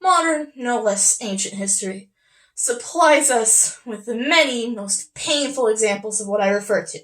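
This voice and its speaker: A woman's voice: on the high side, lively intonation, moderate pace, a bit bright, low-quality recording. A woman performing a comic stage monologue as a haughty young society lady, catty, with affected, emphatic politeness. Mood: lofty. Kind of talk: stage monologue from a comedy of manners.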